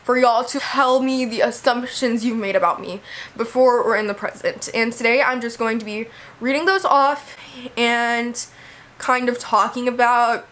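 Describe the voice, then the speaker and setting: Young woman, high voice, hoarse with a cold, casual chatty vlog. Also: fairly bright tone. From Texas, USA. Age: young adult. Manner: chatty, lots of "like" and "you know". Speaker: vlogger. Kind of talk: casual talk to camera.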